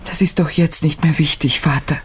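A woman's voice: low-pitched, steady tone, moderate pace, husky. An actress playing a distraught adult daughter in a radio crime drama, anxious and pleading as she soothes someone. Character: distraught adult daughter tending her wounded father.

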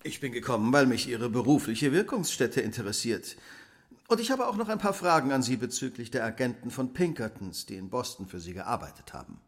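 An actor playing a brisk, self-assured detective who speaks with precise authority in a radio play. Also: male voice, mid-range voice, big pitch swings. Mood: polite, composed. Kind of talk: German radio play acting.

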